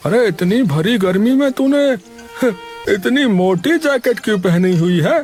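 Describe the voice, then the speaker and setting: A weary older worker from a cartoon story grumbles about the heat and the heavy load, voiced by a man in a high register. Faint music sits behind him. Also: animated, unhurried, a little mellow. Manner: complaining, worn out.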